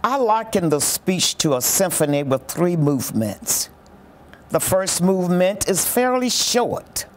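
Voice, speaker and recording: Slow, very animated mid-pitched commentator. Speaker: commentator on a historic speech. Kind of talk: reflective documentary-style commentary.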